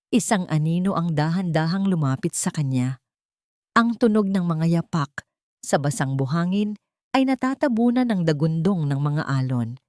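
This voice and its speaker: A woman's voice: fairly low pitch, warm tone, dry recording, clean studio sound. A woman with a Filipino accent reading scripted, dramatic narration for a romance drama story.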